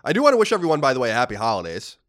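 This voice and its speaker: A man's voice: medium pitch, wildly swooping pitch, racing, a bit bright. A young man with a stuffy voice from a cold, co-hosting a casual podcast and reading listener letters aloud. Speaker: podcast co-host.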